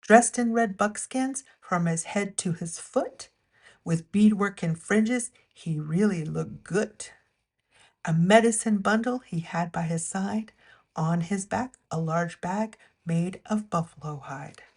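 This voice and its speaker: Female storyteller, mid-pitched, for expressive read-aloud narration of rhyming children's picture books. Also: takes it slowly.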